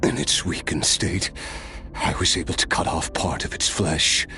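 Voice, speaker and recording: Game casting: wry immortal fighter, wistful and weary, low voice, slow delivery, music underneath. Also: male voice, fairly even intonation, pushed and bright. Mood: solemn reminiscence. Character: immortal old warrior, weary, wistful, wryly good-humored man. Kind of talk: dramatic video game voice acting, storytelling.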